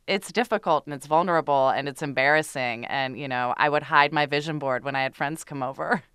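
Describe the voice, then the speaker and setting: A woman's voice: low-pitched, a little fast, a bit bright, smooth, no echo, clean studio sound. A woman comedian chatting casually as an interview guest, candid and self-deprecating. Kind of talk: casual chat.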